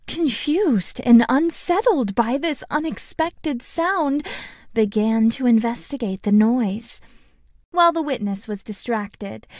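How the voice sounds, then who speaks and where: A woman voicing a cheerful, friendly schoolgirl in a video game, speaking in a sweet, gentle and deliberate way. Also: a little high, no echo, clean studio sound. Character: cheerful, friendly anime schoolgirl narrating a play. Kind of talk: game tutorial voice acting, storytelling.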